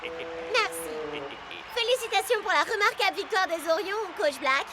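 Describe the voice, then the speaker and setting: A woman's voice: extremely high pitch, animated, moderate pace. A woman voicing an eager cartoon sports reporter asking post-match interview questions, with a crowd behind. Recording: clear crowd noise behind.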